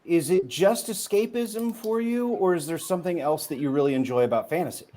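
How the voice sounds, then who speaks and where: A man interviewing a guest in a relaxed, enthusiastic way, with many fillers and quick questions. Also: fairly high pitch. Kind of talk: casual chat.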